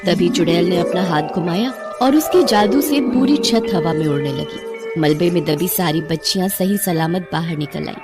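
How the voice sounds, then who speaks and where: A woman's voice: lowish voice, moderate pace. A woman storyteller giving scripted narration of a children's bedtime story. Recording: music behind the voice.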